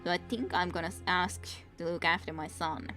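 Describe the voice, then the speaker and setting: A woman from Finland talks in a relaxed, chatty way over her gameplay, her Finnish accent clear in every line. Her voice sits a little low, and she laughs easily as she answers her viewers. Soft game music plays quietly behind her. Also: a little fast. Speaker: streamer. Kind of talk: casual gameplay commentary.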